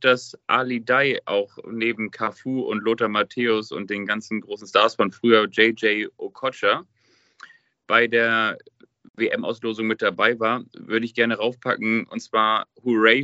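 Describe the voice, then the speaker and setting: Bright-voiced man co-hosting, dry close sound. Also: mid-range voice, no room sound, lo-fi sound. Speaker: podcast co-host and quiz contestant. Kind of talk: casual football podcast chat.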